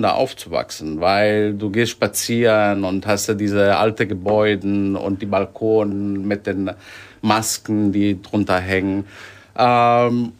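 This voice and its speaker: A man from Sicily as a guest, talking casually with many hesitations. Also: on the low side. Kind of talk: casual chat.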